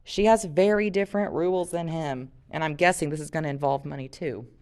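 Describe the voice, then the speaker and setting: A woman reading a story aloud in an expressive audiobook style, with casual, conversational commentary as she leads the discussion. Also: low-pitched, a little fast. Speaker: reader leading a read-aloud and discussion.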